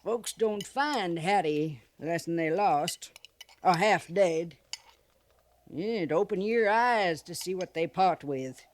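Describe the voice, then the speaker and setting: A woman's voice: lowish voice, slow, slight background noise. A woman playing a sly, grasping old frontier trader widow with a folksy rural Western American drawl in a 1950s radio western. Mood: crusty, sly. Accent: American rural Western dialect. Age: older. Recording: vintage 1950s radio recording.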